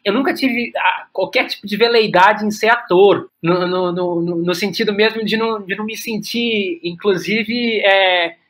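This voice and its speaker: High-voiced, bright Brazilian man, thinking aloud. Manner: halting, searching, repeats words as he thinks aloud. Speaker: podcast guest, playwright and director. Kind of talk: reflective conversational interview.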